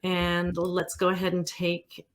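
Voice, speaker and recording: A woman's voice: lowish voice, monotone, slow. A woman hosting a casual interview in a chatty, informal way. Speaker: interview host.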